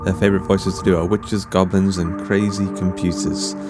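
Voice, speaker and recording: A man with a low voice delivers a scripted podcast outro. He reads the credits as host, with music clearly playing behind him. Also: flat delivery, normal speed.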